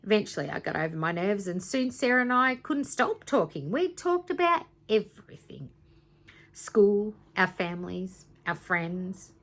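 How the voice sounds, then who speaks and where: A woman reading a children's story aloud in an expressive, dramatic teacherly way, with asides to young listeners. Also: medium pitch, unhurried. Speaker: teacher reading aloud to primary school students.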